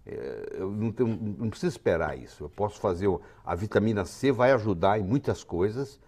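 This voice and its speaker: A man's voice: on the low side, expressive, a little fast, a little mellow. A man in his sixties with a Brazilian accent, a physician giving confident, lecturing explanations as an interview guest. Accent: Brazilian Portuguese. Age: 60s.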